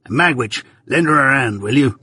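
A man's voice: mid-range voice, lively intonation, moderate pace, sharp tone, breathy edge, no echo. A man voicing a cold, contemptuous male swindler who scolds harshly. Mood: scornful. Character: scornful, crafty male swindler.

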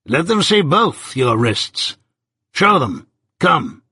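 Guy doing a bossy older lawyer in an audiobook, slow heavy emphasis, husky, dry sound. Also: medium pitch, expressive, pushed and bright, no room sound, heavily acted. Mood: commanding. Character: domineering, deliberate older male lawyer and guardian. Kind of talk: audiobook character voice.

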